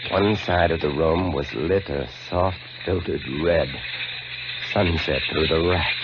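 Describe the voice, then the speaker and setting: An actor narrating as a lighthouse keeper in a 1950s radio drama, in an intense, hushed storytelling manner. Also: male voice, sits low, slow pace, background noise. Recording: muffled old radio broadcast with loud music.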